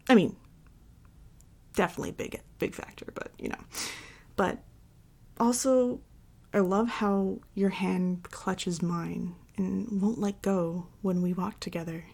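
A woman playing a tender, devoted girlfriend and mommy dom in an intimate ASMR roleplay, breathy and often whispering as she soothes her partner. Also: medium pitch, fairly even intonation. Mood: adoring. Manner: soft, soothing, slow and affectionate.

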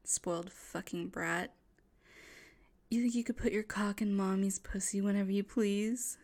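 A woman playing a possessive, unstable, domineering woman in an intimate audio roleplay. She whispers and coos in a breathy, menacing voice. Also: mid-range voice, steady tone, unhurried, darkish tone, natural voice.

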